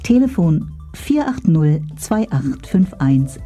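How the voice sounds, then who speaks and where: A woman's voice: low-pitched, very animated, slow, mellow. A woman reading a scripted radio station promo as announcer, with music behind her.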